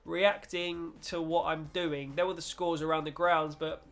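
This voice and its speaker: A man's voice: a little high, little pitch movement, measured pace, fairly bright tone. A man hosting a gaming video with casual, chatty football commentary in informal British slang, slightly nasal from a cold.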